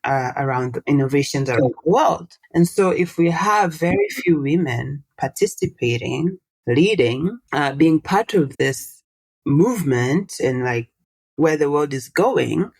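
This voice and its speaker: A low-pitched woman, a Swahili speaker in her thirties, talking in a relaxed interview. She explains things in an animated way and puts questions to her listener. The sound is close and dry, with no room around it. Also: takes it slowly, slightly rough, no echo. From Tanzania. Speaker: guest, social entrepreneur. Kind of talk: casual interview conversation.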